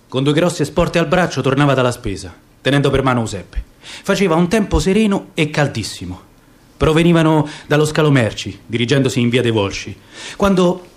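A man reading a novel aloud for radio theatre, telling it expressively with lively shifts in pace. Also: on the high side. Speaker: narrator. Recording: music underneath the narration.

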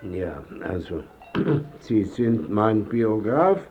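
An actor playing a cranky, contrary old blind painter, weary and dryly sardonic, in a radio drama. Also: male voice, lowish voice, very animated, slightly rough, phone-quality sound, exaggerated voice. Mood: contrary, testy. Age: older. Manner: curt, contrary, dryly sardonic, slow to speak.

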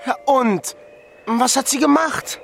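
A man playing an excitable, impatient teenage village boy with a husky voice in a radio drama. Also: extremely high pitch, slow pace, noisy background, heavily acted. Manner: exclamatory, impatient.

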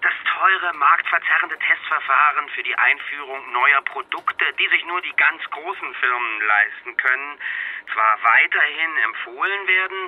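Slick evasive govt official on a tinny phone line, highish raspy voice, radio drama. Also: male voice. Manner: bureaucratic, glib, emphatic hedging. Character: smooth-talking, evasive male health ministry official, callously dismissive. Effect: telephone or radio filter. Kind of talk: radio play, official statement. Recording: thin band-limited phone-like sound.

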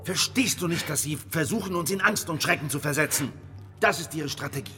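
An actor playing a calm, resolute leader of a secret heretical circle in a German radio drama, speaking with assured persuasion. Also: male voice, on the high side, fairly quick, soft background music. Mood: urgent, commanding.

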